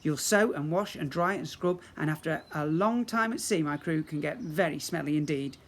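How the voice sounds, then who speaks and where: A man's voice: fairly high pitch, normal speed. A man voicing a leering skeleton pirate captain in a storybook, speaking grandly and with relish. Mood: gloating menace.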